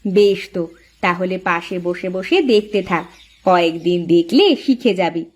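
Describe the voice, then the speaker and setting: A woman's voice: on the low side, fairly even intonation, moderate pace, close to an everyday voice. A woman voicing a protective, hardworking elder co-wife in a village cartoon, speaking in a firm, motherly, advising way. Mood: indulgent, instructing. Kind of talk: cartoon voice acting.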